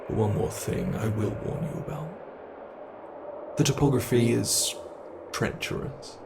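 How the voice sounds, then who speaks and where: A man's voice: medium pitch, creaky, noisy background. A man voicing a gleeful, predatory monster from under the bed in a horror ASMR roleplay, theatrical and taunting as he addresses the listener. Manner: theatrical, taunting, slow and relishing.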